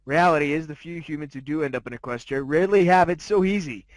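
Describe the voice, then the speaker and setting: Storybook lead: snobby, sardonic talking dog acted by a man, slightly high voice, dramatic fan fiction narration. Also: fast, dry recording, lightly acted. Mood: dismissive. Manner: haughty, jaded, wry. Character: snobby, sardonic male diamond dog telling his life story.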